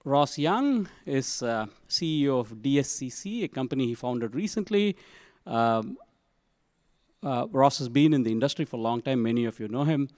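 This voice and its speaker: A man moderating a business panel at a conference, introducing the speakers to the audience in a relaxed, halting way with many fillers. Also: mid-range voice, no echo, studio quality. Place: conference session room.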